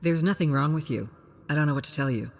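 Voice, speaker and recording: A woman voicing a dismissive, matter-of-fact male colony doctor, brisk and clinical, in a narrated sci-fi story. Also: low voice, darkish tone, in a large hall.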